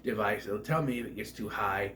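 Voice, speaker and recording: A man's voice: fairly low pitch, fairly quick. Nasal man with a cold, echoey room. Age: 30s. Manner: chatty, joking, self-correcting asides. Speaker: movie reviewer. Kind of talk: casual rambling film review. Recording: echoey room, distant mic, noisy background.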